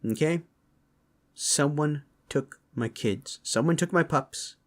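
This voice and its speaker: A man's voice: a little high, takes it slowly. A man playing a hot-tempered, protective beast father in a fantasy audio drama, speaking in a blunt, threatening way. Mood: suspicious.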